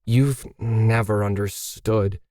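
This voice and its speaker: A man's voice: fairly low pitch, fairly even intonation, takes it slowly, dark tone, dry recording, clean studio sound. A man voicing a middle-aged woman artist in a story, defiant and indignant, tightly holding back anger. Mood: choked anger.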